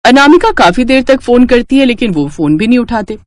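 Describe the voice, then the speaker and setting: A woman's voice: on the high side, expressive, a little fast, dry recording. A woman narrating a scripted cartoon story.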